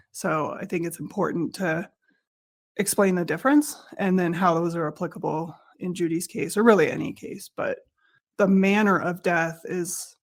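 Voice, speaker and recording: A woman investigator guesting on a podcast, a little raspy from a head cold, explaining things clearly and methodically. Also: lowish voice.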